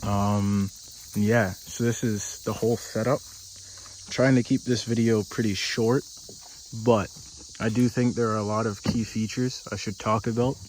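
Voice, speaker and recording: A man giving a casual tour of the car he lives in, speaking in a flat, deadpan monotone with dry humor. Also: fairly low pitch, measured pace, slight background noise, poor audio. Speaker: vlogger living in his car. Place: inside and around a parked car outdoors.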